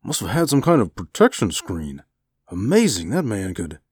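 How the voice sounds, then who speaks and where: Guy reading a confident pilot, audiobook dialogue, low voice, man of action. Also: wildly swooping pitch, normal speed, breathy edge, no room sound, full character voice. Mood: matter-of-fact.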